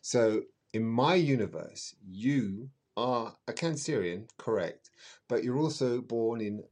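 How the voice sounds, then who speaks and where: Middle-aged man, medium-pitched, podcast guest; exuberant, flowery, chatty storyteller with emphatic, theatrical phrasing. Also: animated, takes it slowly, a little mellow. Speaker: astrologer guest.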